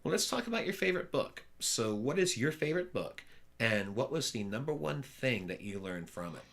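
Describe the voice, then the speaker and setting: A man hosting a podcast interview, talking in an energetic, upbeat way with a loud voice. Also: medium pitch, normal speed, vocal fry. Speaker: podcast host. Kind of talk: casual interview chat.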